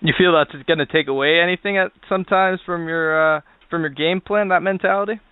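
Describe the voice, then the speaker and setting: A man's voice: on the high side, fairly even intonation, brisk, slight background noise. A man hosting a wrestling show and interviewing a guest, with a narrow phone-like sound.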